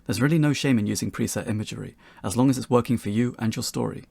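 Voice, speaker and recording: A man's voice: medium pitch, quick pace, gravelly, a little mellow, slightly rough, dry recording. A man reading scripted narration for an instructional talk, in a fluent, explanatory manner. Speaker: presenter of a tutorial talk.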